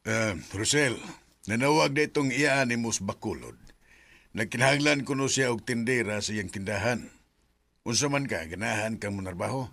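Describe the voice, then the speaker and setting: Gravelly middle-aged messenger, distant, radio play. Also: male voice, medium pitch, moderate pace, a bit bright. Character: middle-aged man bringing job offer.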